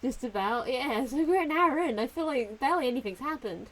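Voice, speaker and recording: A woman's voice: high-pitched, expressive, fairly quick, rough recording. A young woman streamer chatting casually and giggling while she plays a game, now and then talking to her cat. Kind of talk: casual gameplay commentary.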